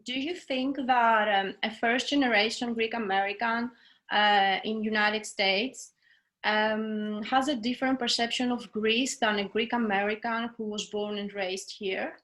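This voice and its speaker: A woman's voice: a little high, fairly even intonation, a little slow, a bit bright. A young adult woman hosting an interview over a video call, speaking hesitantly with many fillers. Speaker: host, consulate officer.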